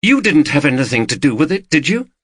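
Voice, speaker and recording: Brief: man doing a shaken woman, higher and bright, pleading and anxious. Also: sing-song, brisk, breathy edge, dry recording, studio quality, heavily acted. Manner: anxious, pleading, rising to shouts. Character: frightened, tearful woman confronting her partner.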